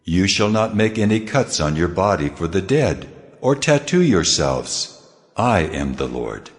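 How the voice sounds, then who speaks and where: The booming Lord God. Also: male voice, big pitch swings. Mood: solemn. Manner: slow, weighty proclamations. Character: God, the almighty Lord, stern and solemn. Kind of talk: dramatized scripture reading. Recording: long hall reverb over music.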